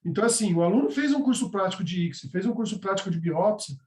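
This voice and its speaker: Instructor, male, high-pitched, conversational explainer, echoey room. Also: expressive, fast talker, darkish tone. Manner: conversational, explaining step by step with frequent "tá?" checks. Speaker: course instructor. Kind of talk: live online class with course announcements.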